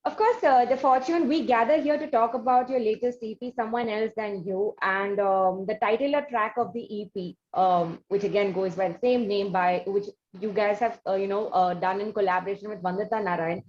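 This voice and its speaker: A slightly muffled recording with faint background noise carries an Indian woman's voice. She sits at a middle pitch and hosts an interview, phrasing things formally and at some length. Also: steady tone, moderate pace, hardly any pauses, darkish tone. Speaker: interviewer and show host. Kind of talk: conversational interview.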